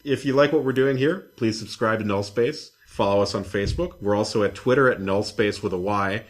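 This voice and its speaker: A man's voice: fairly low pitch, a little fast. A man hosting a gaming podcast in a casual, conversational way. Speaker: podcast host.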